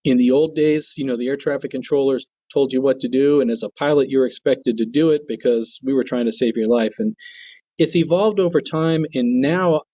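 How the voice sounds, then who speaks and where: Mellow-voiced man explaining his job, muffled audio. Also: mid-range voice, no room sound. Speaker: guest, air traffic control tower manager.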